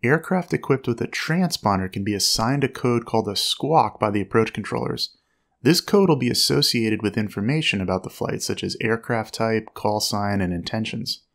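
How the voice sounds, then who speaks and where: Male explainer narrator, lower pitch, measured instructional read. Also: creaky, a bit bright.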